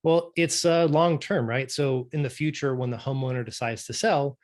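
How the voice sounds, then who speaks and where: A man who grew up in Norway speaks as a podcast guest, with a voice of ordinary middle pitch. He explains in a relaxed, conversational way, often checking in with a quick tag question. His manner is patient and explanatory. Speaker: guest, company director.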